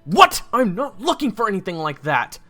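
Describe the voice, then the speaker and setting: A man's voice: high voice, wildly swooping pitch, moderate pace, pushed and bright, soft background music, heavily acted. A man playing a flippant, evasive young adventurer in an audio roleplay, wry and casual with awkward hesitations. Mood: flustered. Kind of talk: audio roleplay acting with first-person narration.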